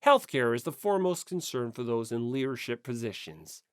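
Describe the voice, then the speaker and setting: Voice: medium pitch, lively intonation, moderate pace, dry recording, studio quality, pitched below the performer's own voice, lightly acted. An audiobook reader voicing a haughty, imperious teenage heir, curt and condescending.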